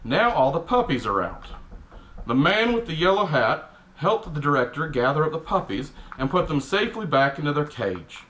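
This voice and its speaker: A man's voice: on the high side, sing-song, normal speed, fairly bright tone, rough recording. A man putting on a cranky middle-aged Texan dad with a Texan accent, reading a picture book aloud with blunt, exasperated asides. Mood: exasperated storytelling. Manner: grumpy, exasperated, blunt, mildly profane. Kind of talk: comic character storybook reading with grumbling asides.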